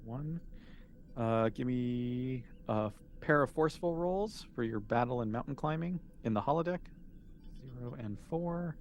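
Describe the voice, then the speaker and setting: A man's voice: medium pitch, expressive, measured pace. Male game master, middle register, easygoing informal game running, some faint background noise. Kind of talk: casual game mastering, calling for rolls.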